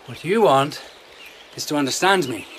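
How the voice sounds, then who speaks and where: A sly court favourite with a highish, very animated voice. He teases and taunts with silky politeness in a radio play, over faint outdoor ambience. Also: normal speed, a little hiss or hum. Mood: calm, provoking. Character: sly, playful, unafraid male court favourite and trickster. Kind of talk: radio drama acting.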